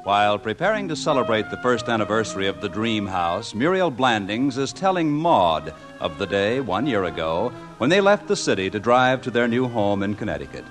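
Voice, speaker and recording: Casting: mock-dramatic 1950s radio announcer, mid pitch, swooping intonation, music behind. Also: male voice, sing-song, normal speed, hardly any pauses. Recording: vintage 1950s radio broadcast.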